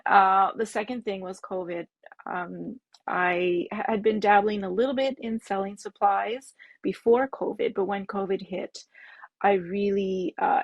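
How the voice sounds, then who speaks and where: A woman whose first language is French, a pattern designer from Canada, talking frankly in an interview with many pauses and ums. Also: medium pitch, vocal fry, husky, no room sound. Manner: frank, halting with frequent ums. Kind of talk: casual interview chat.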